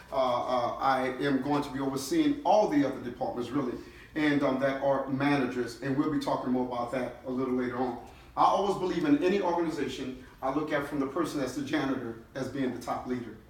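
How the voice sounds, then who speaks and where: A man's voice: medium pitch, fairly even intonation, fast. A middle-aged man, a chief executive, giving an emphatic motivational talk to his staff, often checking in with short questions. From New Orleans, United States. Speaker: CEO leading a team-building session. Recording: echoey room, distant mic, faint noise.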